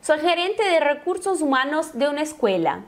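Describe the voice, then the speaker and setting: A woman's voice: high voice, big pitch swings, normal speed. A Brazilian woman, a native Portuguese speaker, teaching Spanish in an informal, conversational lesson style with frequent check-in tags. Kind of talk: informal language lesson, explaining and translating example phrases.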